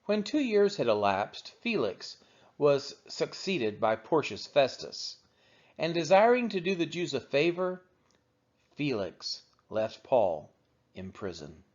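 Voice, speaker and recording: A man reads scripture aloud in a slightly high voice. His intonation rises and falls widely, with measured, deliberate oratorical phrasing. The recording sounds a little dull and lo-fi. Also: very animated. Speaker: scripture reader of a daily read-along. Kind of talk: scripted Bible reading, narration.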